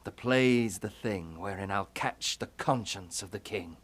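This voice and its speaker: A man playing a tormented, scornful young prince in classical Shakespearean style, with theatrical, deliberate delivery. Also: lowish voice. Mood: resolute, plotting. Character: brooding, tormented young prince who turns scornful and furious. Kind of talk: classical Shakespearean stage acting.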